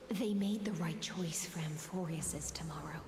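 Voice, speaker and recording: A woman's voice: deep voice, a little slow, noisy background. A woman voicing a composed, solemn warrior in a video game, with formal, controlled delivery. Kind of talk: dramatic video game voice acting. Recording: game music behind the voice.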